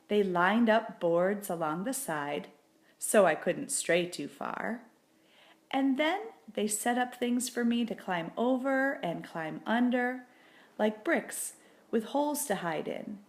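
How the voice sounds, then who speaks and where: A woman narrates a children's storybook at a slow, careful pace. Her reading is expressive and deliberate, with a medium-pitched voice. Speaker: reader of a children's book. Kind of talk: storybook read-aloud narration.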